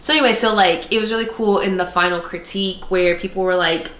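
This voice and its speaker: A woman's voice: mid-range voice, fairly even intonation, fast talker, a bit bright. A woman podcast co-host telling personal stories in casual, informal chat, often acting out remarks she quotes. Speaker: podcast co-host, former art student. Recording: roomy, echoey sound.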